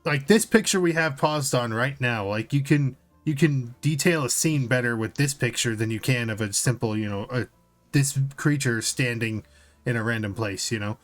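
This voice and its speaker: A man with a mid-pitched voice chats casually as a podcast co-host. He sounds informal and opinionated, with music faintly behind him. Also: moderate pace. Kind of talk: casual chat reacting to a video.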